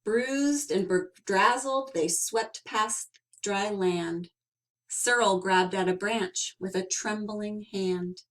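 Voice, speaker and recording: Bright-voiced woman reading a children's story. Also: medium pitch, rough recording. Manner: theatrical, dramatic emphasis with drawn-out words. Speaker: storyteller reading a picture book aloud. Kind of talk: expressive children's read-aloud narration.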